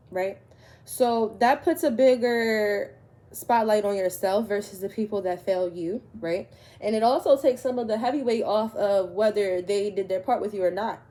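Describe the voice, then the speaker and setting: A woman's voice: mid-range voice, mellow. A woman tarot reader, congested from a cold, giving conversational spiritual advice to her viewers and checking in with "right?".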